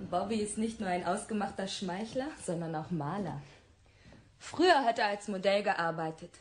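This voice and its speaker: A woman's voice: mid-range voice, expressive, measured pace, long pauses, slight background noise, natural voice. A woman playing a volatile, lovestruck bohemian actress exasperated with her lover, theatrical and swinging between muttering and outbursts, in a German radio drama. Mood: amused, fond.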